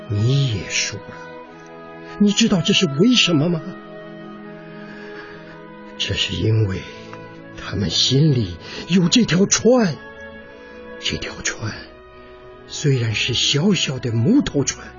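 A genial American war veteran in a radio drama. He speaks slowly and theatrically, with extremely animated pitch. Faint music sits under a slightly dull recording. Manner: drawn-out, theatrical delivery.

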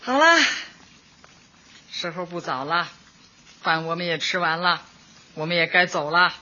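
A woman's voice: on the low side, fairly even intonation, a little slow, sharp tone, dull audio. An actress playing an elderly, kindly community Party secretary in a radio drama, hearty, motherly and decisive. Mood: cheerful, decisive.

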